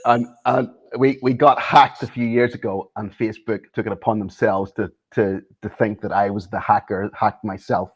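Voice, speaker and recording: A man's voice: on the low side, fairly even intonation, normal speed, hardly any pauses, slightly rough. A man guesting on an interview show as a martial arts instructor, speaking thoughtfully with many ums and restarts. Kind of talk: casual interview conversation.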